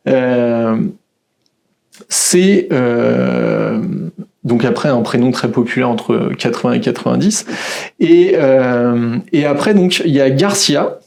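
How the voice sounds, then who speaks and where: A man in his thirties co-hosting a podcast, talking in a halting way with many restarts. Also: medium pitch, gravelly, a little mellow. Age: 30s. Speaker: podcast co-host. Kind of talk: casual interview chat.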